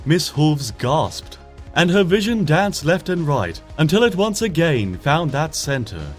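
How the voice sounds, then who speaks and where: Lively gentle male storyteller. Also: a little high. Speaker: fanfiction reader. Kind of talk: expressive storybook narration. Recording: faint music beneath the voice.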